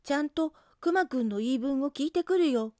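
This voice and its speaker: An earnest boy rabbit from a children's storybook, played by a woman. The voice is high and bright-edged in its sincerity, gentle and persuasive, with a brave, caring temper. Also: lively intonation, brisk, dry recording, clean studio sound. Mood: determined.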